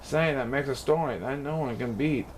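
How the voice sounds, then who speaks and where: A man reading a rhyming children's storybook aloud in a theatrical, expressive way. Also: mid-range voice, moderate pace, slight background noise. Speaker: storybook reader.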